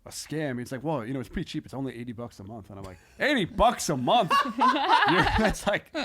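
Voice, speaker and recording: A man's voice: on the high side, big pitch swings, fairly quick, heavy background noise. Man chatting casually as podcast host. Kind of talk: casual comedic podcast chat.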